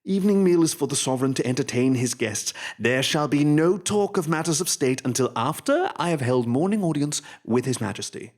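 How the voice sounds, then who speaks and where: A man's voice: a little high, expressive, brisk, hardly any pauses, a little mellow. A man playing a haughty, scheming royal pony official with a condescending, drawling manner in a fan-made radio drama. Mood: haughty, commanding.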